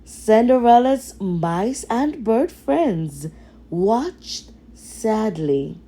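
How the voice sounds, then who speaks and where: Slow, animated woman storyteller. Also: a little high, a little hiss or hum. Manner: expressive, dramatic. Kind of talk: children's storybook read-aloud.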